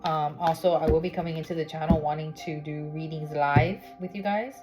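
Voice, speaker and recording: Low-voiced tarot reader woman over music. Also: steady tone, fairly quick. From Miami, United States. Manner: chatty, with many fillers and "okay?" check-ins. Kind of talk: casual spiritual reading vlog. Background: background music.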